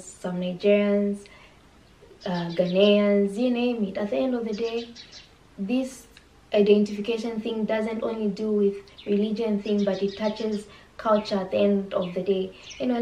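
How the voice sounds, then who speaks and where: A young woman with a Zambian accent shares reflective reaction commentary. Her voice is mellow and fairly even in pitch, picked up from a distance in an echoey small room. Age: 20s. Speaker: reaction video host. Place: small bare indoor room. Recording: echoey room, distant mic, faint noise.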